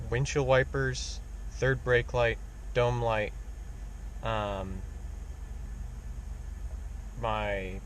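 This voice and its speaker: A man casually narrating his own hands-on repair vlog in a plain-spoken, wry way. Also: mid-range voice. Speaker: DIY truck repair vlogger. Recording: background noise of vehicle and hum.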